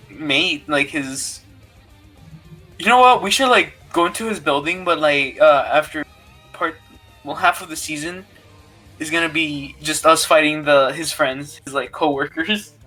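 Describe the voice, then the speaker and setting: A young man with a bright, fairly high voice chats casually on a podcast. He answers in short, halting phrases, and music plays behind him. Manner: halting, brief replies. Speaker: podcast co-host. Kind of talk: casual improvised fan banter.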